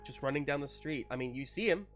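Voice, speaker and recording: A male game master with a mid-pitched voice improvises narration for a tabletop game. His phrasing is casual and halting, over faint music.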